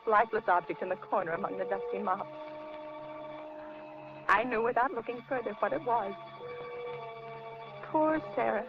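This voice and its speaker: Anxious housewife, widely swinging voice. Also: female voice, a little high. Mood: haunted narration. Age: middle-aged. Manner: anxious, hesitant, dramatic. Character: frightened, kindly middle-aged housewife, mother of soldiers. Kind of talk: 1950s radio suspense acting and first-person narration. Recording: muffled, noisy 1950s radio recording.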